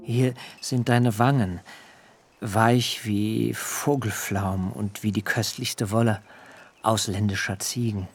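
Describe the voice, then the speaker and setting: Jacob in a radio play, young herdsman in love, gravelly voice, talks real slow, hushed and solemn. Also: fairly low pitch, fairly even intonation, faint music behind. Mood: tender. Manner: slow, solemn and intimate, sometimes dropping to a whisper. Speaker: radio drama actor. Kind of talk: literary radio play acting.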